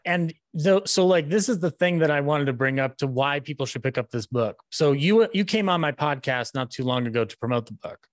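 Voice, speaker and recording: A man's voice: a little high, lively intonation, brisk, few pauses, no room sound. Effusive man hosting, close dry mic. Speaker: podcast host introducing and interviewing a guest. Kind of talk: enthusiastic live event introduction and chat. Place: indoor room at home on a video call. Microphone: close desk microphone with headset.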